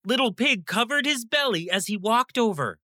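Female narrator, lively swooping intonation, bright tone, children's bedtime story, expressive. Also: a little high, normal speed, no room sound, studio quality.

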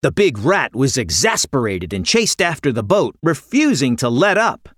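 A woman narrating a scripted children's story in an expressive, dramatic way. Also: extremely low pitch, moderate pace, no echo, clean studio sound.

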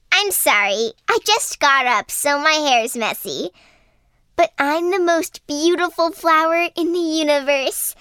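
A woman plays a vain storybook flower with a high, bright voice. She sounds girlish, coy and haughty, always expecting to be looked after. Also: takes it slowly, no room sound, exaggerated voice. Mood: preening. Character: vain, demanding young female flower. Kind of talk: acted children's audio fairy tale.

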